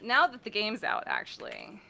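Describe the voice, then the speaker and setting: A woman, a guest voice actor, chatting casually on a stream in a playful, animated manner. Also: medium pitch, normal speed, vocal fry, a little hiss or hum.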